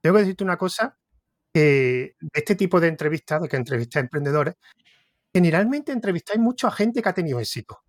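Un hombre de Córdoba con acento andaluz, anfitrión de un podcast, que entrevista en tono de charla y divaga con muchas dudas y reinicios. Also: male voice, on the high side, lively intonation, quick pace, no room sound, clean studio sound. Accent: Andalusian Spanish. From Córdoba, Spain. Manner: rambling, hesitant, restarts thoughts. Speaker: podcast host interviewer. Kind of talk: casual interview conversation.

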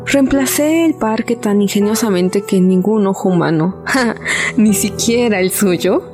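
A woman's voice: mid-range voice, natural voice. A woman acting the part of an obsessive murderess who insists she is sane, speaking in a brooding, repetitive, dramatic way in a radio drama. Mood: cold scheming. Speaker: narrator and protagonist. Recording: voice over loud music.

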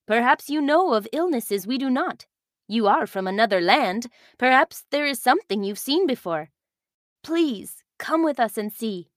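Lady doing a village leader lady, earnest and emotional, bouncy high voice. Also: lively intonation, moderate pace, dry recording, studio quality, lightly acted. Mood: pleading. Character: warm, pleading island village leader, adult woman.

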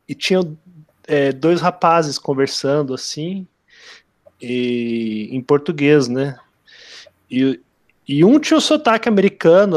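Slightly high-voiced man telling stories. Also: dry recording, studio quality. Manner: hesitant storytelling with many fillers and restarts. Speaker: podcast co-host. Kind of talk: casual chat, telling anecdotes.

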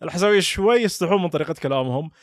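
A young Saudi man from Al-Ahsa with a Hasawi accent, a podcast guest who talks casually with quick restarts. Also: sits high, expressive, dry recording, clean studio sound. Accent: Hasawi Saudi Arabic. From Saudi Arabia (Al-Ahsa). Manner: quick, halting, with frequent restarts and short laughs. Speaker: podcast guest, content creator. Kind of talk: casual interview talk.

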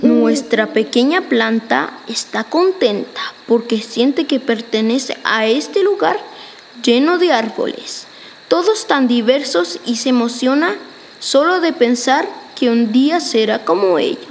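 A woman's voice: a little high, expressive, a little slow, in a large hall, a little hiss or hum. A woman narrating a school radio play in a storybook style.

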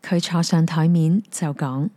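Mellow-voiced Hong Kong Cantonese storyteller. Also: female voice, low-pitched, measured pace, no echo. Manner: gentle, even storytelling. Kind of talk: fairy tale narration. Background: soft piano music.

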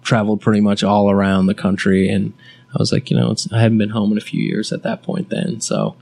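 A young man from Canada with a low, gravelly voice talks in a relaxed, halting way, leaving many fillers. He is the guest in a casual chat. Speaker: interview guest.

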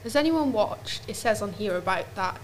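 A woman talks through a topic in a relaxed podcast chat, leaning on "like" as she goes. Her voice sits at a middling pitch. A faint hiss runs under it. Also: normal speed. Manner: chatty, explains at length with many "like"s. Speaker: podcast co-host. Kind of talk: casual chat.

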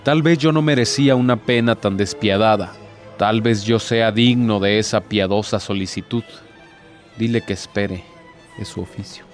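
A man's voice: on the low side, moderate pace, close to an everyday voice. Guy doing a bitter proud devil in a radio play, sarcastic n theatrical, bit of music behind. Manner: theatrical, rhetorical, sardonic.

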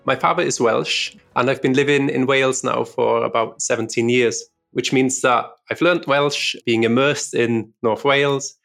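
Gravelly-voiced man, chatty podcast guest. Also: medium pitch, normal speed, few pauses, soft background music. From Austria. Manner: relaxed, chatty, uses fillers like "kinda like". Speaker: guest lecturer. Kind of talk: podcast interview.

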